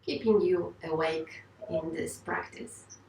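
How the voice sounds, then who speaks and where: A woman teaching yoga and guided meditation, speaking in a gentle, unhurried, reassuring way. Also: lowish voice, slight background noise. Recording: roomy, distant microphone.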